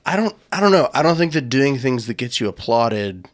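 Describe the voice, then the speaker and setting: A man's voice: medium pitch, big pitch swings, brisk, dry recording. A man hosting a podcast, talking earnestly in casual chat, thinking aloud and restarting his sentences as he goes. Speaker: podcast host.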